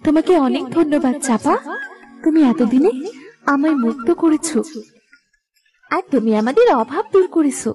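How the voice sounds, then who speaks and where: A woman's voice: sits high, lively intonation, normal speed, long pauses, over music. A woman voicing a kind, benevolent female pond spirit in a cartoon fairy tale, soothing and reassuring. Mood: grateful, kind.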